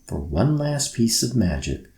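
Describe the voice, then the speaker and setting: A man voicing a calm, kindly male magical guide from a storybook, with an unhurried, gently knowing manner. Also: medium pitch, reverberant.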